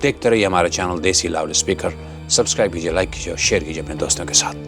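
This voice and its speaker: A man with a fairly low voice presents scripted commentary as a channel host. His delivery is rhetorical and dramatic with a sardonic edge. Music plays faintly behind him. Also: quick pace, creaky. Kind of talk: scripted opinionated political commentary. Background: background music.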